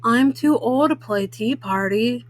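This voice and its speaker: A woman's voice: fairly high pitch, measured pace. A woman voicing a dismissive older brother bear cub in a children's storybook, grumbling like a young boy.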